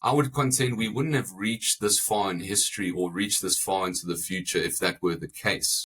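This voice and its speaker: Low husky English South African man. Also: normal speed, a bit bright. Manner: thoughtful, repeats words as he builds his point. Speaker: guest, family insurance broker. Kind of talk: interview conversation.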